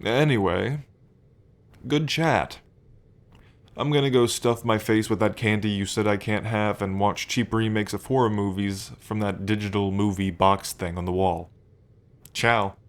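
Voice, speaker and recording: Man playing a slow, low-voiced smug demon prince. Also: steady tone. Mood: teasing. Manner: slow, drawling, playfully taunting. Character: smug, teasing male demon prince of pride. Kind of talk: ASMR roleplay addressed to the listener.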